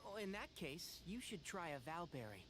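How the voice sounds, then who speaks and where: A man's voice: fairly high pitch, big pitch swings, moderate pace, background noise. A man voicing a polite, thoughtful young forest ranger in a video game, with a courteous, composed manner. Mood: friendly, composed.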